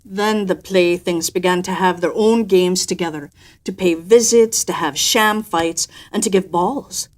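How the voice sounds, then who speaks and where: A woman reading a children's story aloud in an expressive, theatrical storytelling manner, with emphatic swells and softer drops. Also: on the low side, unhurried. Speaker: storyteller and host. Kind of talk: expressive storybook narration with an in-character personal anecdote.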